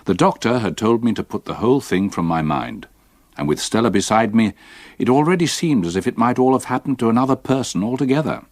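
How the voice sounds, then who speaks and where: An actor playing an older English solicitor who gravely and regretfully recalls a haunted past in measured, brooding radio-drama narration. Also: male voice, mid-range voice, expressive, no echo. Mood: sombre recollection. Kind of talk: dramatised first-person narration of a ghost story.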